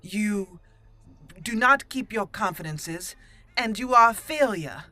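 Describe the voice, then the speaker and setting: A woman hosting a roleplay let's play, voicing dialogue deliberately and theatrically and adding her own commentary. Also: mid-range voice, expressive, sharp tone, no room sound, faint music behind. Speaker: gaming channel host.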